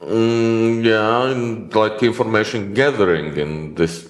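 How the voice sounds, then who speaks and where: A man's voice: fairly low pitch, slow. A man with a Latvian accent, a non-native English speaker, hosting a conversation and asking questions with many hesitant repetitions. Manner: hesitant, many repeated words and restarts. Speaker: host, IT consultant. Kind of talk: conversational interview.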